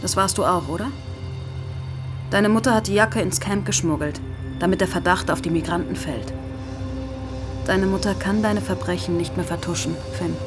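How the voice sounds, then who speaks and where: A woman's voice: lowish voice, normal speed, pauses often, over music, natural voice. An actress playing a hardened, defiant woman on the run in a German crime radio drama, speaking tersely and coolly. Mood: cool, confronting.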